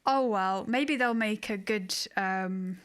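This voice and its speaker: A woman's voice: mid-range voice, slow pace, clean tone. Woman chatting casually. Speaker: podcast co-host. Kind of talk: casual chat about games and films.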